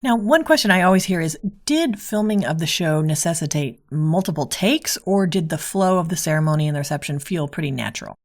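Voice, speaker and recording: A woman with a notably low voice hosts a conversational interview. She phrases her questions and responses in an articulate, orderly way. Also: lively intonation, fairly quick, few pauses. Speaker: podcast host, interviewer.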